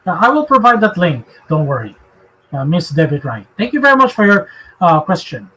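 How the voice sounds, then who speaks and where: A man with a Filipino accent and a high-pitched voice leads a live religious discussion. He talks haltingly, circling back over his phrases as he explains and takes questions. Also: very animated, pushed and bright, slightly rough, rough recording. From Philippines. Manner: halting, repeats phrases as he goes.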